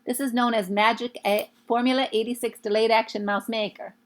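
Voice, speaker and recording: A woman voicing an imperious, child-hating Grand High Witch for a children's storybook, commanding and emphatic. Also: steady tone, a little fast, lightly acted.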